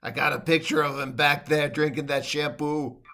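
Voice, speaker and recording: An actor playing a sardonic, wisecracking saloon bartender in old-time radio style. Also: male voice, on the high side, fairly even intonation, moderate pace, bright, forceful tone, a little hiss or hum. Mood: wry.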